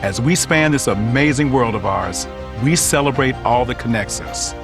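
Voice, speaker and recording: Man narrating airline video, normal mid pitch, music in background. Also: moderate pace, vocal fry.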